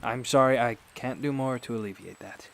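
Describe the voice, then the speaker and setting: An actor playing a calm, persuasive doctor in a radio drama, measured, politely formal and wry. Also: male voice, medium pitch, vocal fry, close to an everyday voice.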